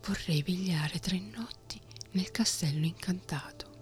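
A woman's voice: fairly low pitch, measured pace, faint music behind, lightly acted. A woman reading a fairy tale, whispering the lines of a fearless young man who wants to learn fear. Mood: calm, unafraid. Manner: whispered, calm and bold.

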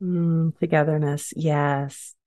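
A woman's voice: sits low, level tone, slow, mellow. A woman hosting a podcast, affirming her guest and asking loose, rambling questions. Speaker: podcast host. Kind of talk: podcast interview.

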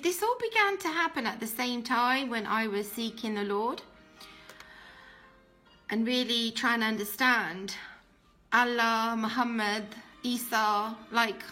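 A woman's voice: fairly high pitch, bright, forceful tone. A woman giving an earnest, meandering personal faith testimony on her podcast. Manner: earnest, meandering, many fillers. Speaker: podcast host.

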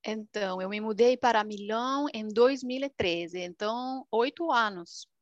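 A young woman from Romania, a native Romanian speaker with a Romanian accent, chatting casually in an expressive, animated way. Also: a little high, a little slow, no echo, muffled recording. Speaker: language video creator. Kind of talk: casual chat.

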